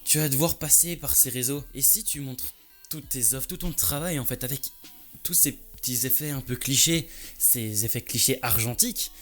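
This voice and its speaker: A man's voice: medium pitch, moderate pace, fairly bright tone, soft background music, lightly acted. A man playing a passionate, touchy lynx who owns a photo lab, talking in an informal, slangy way in an audio story. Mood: conceding, insistent. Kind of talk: French audio story dialogue.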